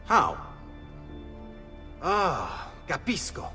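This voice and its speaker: An Italian rebel leader in a video game cutscene, his voice on the high side. He is earnest, wary and commanding, with music clearly playing behind him. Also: huge pitch swings, drawn-out words, sharp tone, heavily acted. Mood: urgent.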